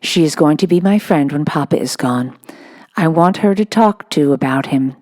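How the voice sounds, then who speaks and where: A woman's voice: low-pitched, lively intonation, moderate pace, a little mellow. A woman voicing a solemn, imaginative little girl from a storybook in an earnest, matter-of-fact way.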